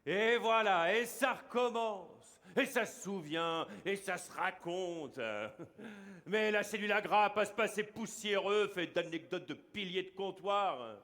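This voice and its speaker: Emphatic high male voice in reverb. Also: slow, a bit bright. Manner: exhorting, emphatic, teasing. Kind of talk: theatrical declamation.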